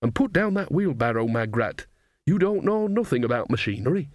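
A man's voice: mid-range voice, very animated, brisk, studio quality, close to an everyday voice. Bloke doing an old witch granny type, blunt n folksy, wry, storybook, no room echo. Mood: scolding. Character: earthy, plain-spoken old witch, woman, practical and wry. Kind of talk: audiobook character voice.

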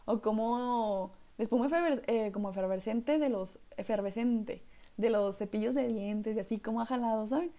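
A woman's voice: fairly high pitch, normal speed, dark tone. A young woman with a northern Mexican accent hosting her own podcast, talking in a chatty, rambling way with lots of fillers. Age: young adult. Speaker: podcast host. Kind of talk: casual solo podcast monologue.

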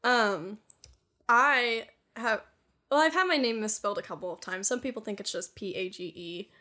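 A woman's voice: fairly high pitch, normal speed, bright, forceful tone. A young woman co-hosting a podcast, chatting casually with lots of fillers and frequent giggles. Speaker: podcast co-host. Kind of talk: casual chat.

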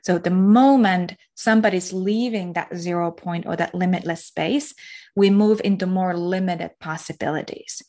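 A woman from Finland, speaking as an interview guest, reflective and pausing often to search for words. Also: lowish voice, a little mellow. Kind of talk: casual spiritual interview talk.

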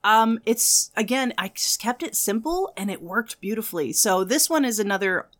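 Giggly animated woman co-host. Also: on the high side, moderate pace. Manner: giggly, animated, laughs often. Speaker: podcast co-host. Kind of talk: casual chat.

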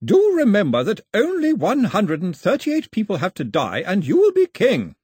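A man's voice: sits high, wildly swooping pitch, normal speed, a little mellow, slightly rough, dry recording, clean studio sound, pitched above the performer's own voice, exaggerated voice. A man voicing an imperious elderly grandmother who is haughty and domineering, speaking in a clipped, commanding way.

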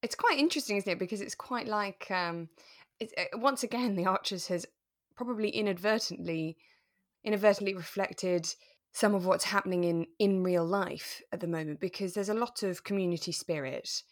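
A woman's voice: mid-range voice, normal speed. A woman, chatting casually as a podcast co-host about a radio drama. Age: over 25, adult.

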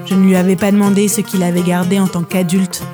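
A young woman hosting a podcast, asking her father relaxed, conversational questions and narrating between them. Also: medium pitch, quick pace, over music. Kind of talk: interview and narration.

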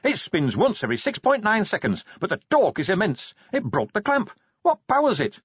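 A man doing a giddy, shouting inventor. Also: high voice, huge pitch swings, husky, dry recording, pitched above the performer's own voice, heavily acted. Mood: excited. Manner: rapid, exclamatory. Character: excitable male inventor, breathless with wonder. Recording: slightly dull, dry studio read.

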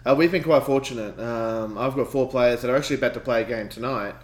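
A man's voice: medium pitch, fast. A man co-hosting a casual podcast as a game master, talking with enthusiasm and frequent laughter. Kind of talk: casual chat. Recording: echoey room with faint rain ambience.

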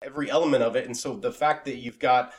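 A male podcast co-host in casual, unscripted conversation. He speaks at a medium pitch with an earnest, enthusiastic manner and tends to ramble as he works toward his point. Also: fairly even intonation, fast, a crowd in the background. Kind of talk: casual, unscripted interview talk.

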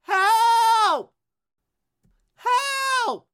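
A woman playing a panicky, excitable member of an adventuring team in an audio drama, urgent and overdramatic. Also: fairly high pitch, normal speed, no room sound, clean studio sound. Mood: panicked.

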